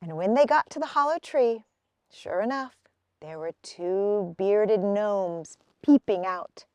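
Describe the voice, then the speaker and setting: A woman's voice: mid-range voice, slow, darkish tone, no echo. A woman narrating a children's picture book expressively, as a storybook reader.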